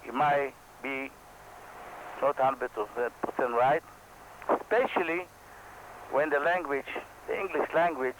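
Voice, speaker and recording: An Israeli man whose first language is Hebrew explains flying in plain, halting English, his voice set at a mid pitch. He restarts his sentences often and sounds earnest and modest. A faint hiss sits under his voice. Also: steady tone, a bit bright, low-quality recording. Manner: halting non-native English, frequent restarts, earnest and self-deprecating. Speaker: helicopter pilot and instructor. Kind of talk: casual instructional talk to camera.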